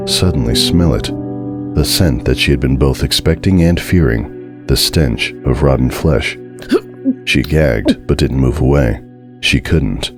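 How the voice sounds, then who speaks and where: Very deep-voiced man narrating horror. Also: measured pace, over music. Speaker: narrator reading a fan horror story. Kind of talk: dramatic scripted narration.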